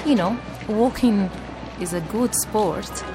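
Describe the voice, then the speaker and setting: Earnest young woman from Iraq. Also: medium pitch. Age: young adult. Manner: earnest, measured, non-native phrasing. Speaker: young blogger reading her posts. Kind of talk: reading personal blog entries aloud. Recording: with background music.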